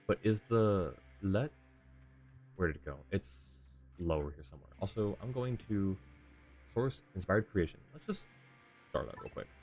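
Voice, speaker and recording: Male streamer, low-pitched, casual and thinking aloud during live gameplay, faint game music behind. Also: normal speed, long pauses, a little mellow. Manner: thinks aloud, reading on-screen text and chat. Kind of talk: casual live gaming commentary. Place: indoor live stream setup.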